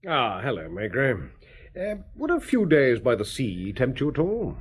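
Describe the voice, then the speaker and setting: A businesslike police chief in a radio drama. His mid-range voice moves lively as he gives brisk instructions. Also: mid-range voice.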